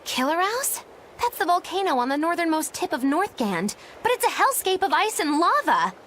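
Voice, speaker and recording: A woman's voice: extremely high pitch, sing-song, normal speed, fairly bright tone, a little hiss or hum, exaggerated voice. A woman playing a smug, flamboyant young witch in a fantasy video game, speaking dramatically. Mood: excited, dramatic. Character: theatrical, smug, gifted young female witch. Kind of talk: animated fantasy game acting.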